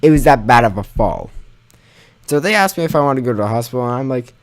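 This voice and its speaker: A man's voice: mid-range voice, animated, fast talker. A young man telling a personal story in a casual, informal way, mildly sweary and poking fun at himself. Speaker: gaming channel storyteller.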